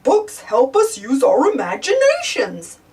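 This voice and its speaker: A woman voicing a friendly big male dinosaur in a storybook, gently explaining. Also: high-pitched, wildly swooping pitch, measured pace, distant mic, exaggerated voice. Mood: gentle.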